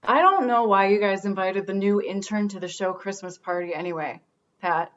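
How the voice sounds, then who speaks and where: A woman acting in a comedy radio play as a curt, sardonic producer, snappish and deadpan. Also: mid-range voice, normal speed, dull audio, natural voice.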